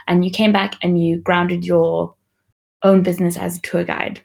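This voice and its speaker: Woman interviewer with a lowish, flat voice, short prompts and brief summaries. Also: normal speed, creaky. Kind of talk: interview questions.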